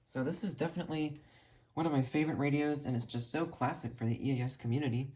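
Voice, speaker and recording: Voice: medium pitch, steady tone, quick pace, a little mellow. A person with an earnest, rambling, enthusiastic way of talking, giving a casual tour of a hobby collection. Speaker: hobbyist video creator showing a radio collection.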